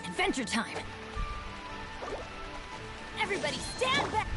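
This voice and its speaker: High-pitched, spirited young adventurer. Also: female voice, wildly swooping pitch, a little slow, exaggerated voice. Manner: exclamatory battle calls. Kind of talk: anime-style video game acting. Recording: game capture under loud music.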